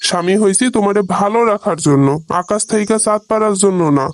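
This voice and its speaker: A man's voice: high voice, fast, natural voice. A man with a Bangladeshi accent playing an exasperated village husband who protests and reasons, in a comic cartoon. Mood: puzzled, reasoning.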